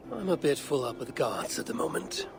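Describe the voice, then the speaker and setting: A man playing a proud Viking warrior lord in a historical game, gravelly, terse and wry. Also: medium pitch, sing-song, a little fast, noisy background. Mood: resolute. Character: proud, restless Viking warrior lord, gruff but courteous. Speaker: lead character in a historical action game. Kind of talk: scripted game drama dialogue. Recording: music under the dialogue. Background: background music.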